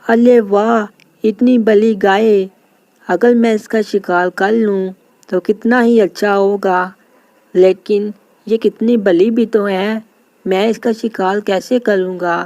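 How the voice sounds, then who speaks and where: A woman's voice: mid-range voice, fairly even intonation, slow, mellow, dry recording. Woman voicing an eager, timid young lion cub. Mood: hesitant. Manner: earnest, eager to please.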